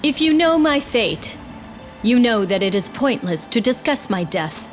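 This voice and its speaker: A woman's voice: fairly high pitch, very animated, unhurried, faint music behind. A woman playing an earnest, resolute young princess in a fantasy game, speaking formally and seriously. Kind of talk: fantasy video game voice acting.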